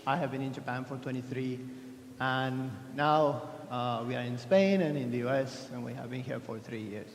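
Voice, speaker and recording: A man's voice: medium pitch, moderate pace, a little hiss or hum. A man from Argentina giving a chatty, self-deprecating keynote talk at a conference, with many restarts. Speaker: conference speaker. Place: large conference hall. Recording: echoey hall with long reverb.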